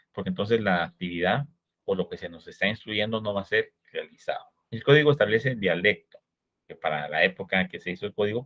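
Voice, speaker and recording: A Guatemalan man with a Guatemalan Spanish accent giving a recorded law lecture, explaining in a measured way and posing rhetorical questions to his students. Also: deep voice, fairly bright tone. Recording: dull lo-fi recording.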